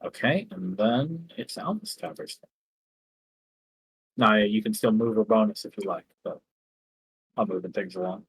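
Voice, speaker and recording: A man running a tabletop game as game master, who gives unhurried rulings with frequent ums. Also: fairly low pitch, lively intonation, husky, no room sound, rough recording.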